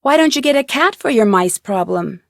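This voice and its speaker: A woman's voice: on the high side, animated, normal speed, creaky, no room sound, studio quality. A woman playing an inquisitive, polite young female amateur detective in a video game, asking questions in a curious way. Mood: polite, earnest. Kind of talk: video game dialogue acting.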